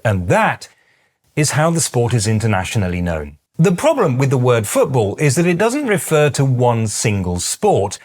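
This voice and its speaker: British accent, middle-aged man, dry wry explainer, animated intonation. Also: medium pitch, normal speed. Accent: British English. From Britain. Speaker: YouTube host. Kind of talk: scripted explainer narration.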